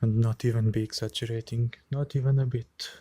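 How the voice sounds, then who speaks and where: A man in an unedited late-night vlog, speaking quietly and hesitantly with many pauses and fillers, cold and shivering a little into a handheld phone outdoors. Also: mid-range voice, little pitch movement. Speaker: vlogger. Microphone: phone held by hand.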